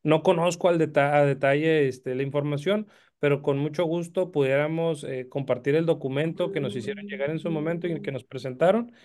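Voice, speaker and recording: A man speaks in a meeting over a video call. His voice is smooth and clear, and he halts often on este and eh as he feels for words. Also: fairly high pitch, fairly even intonation, a little mellow. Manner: halting, fills pauses with este and eh. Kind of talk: meeting remarks.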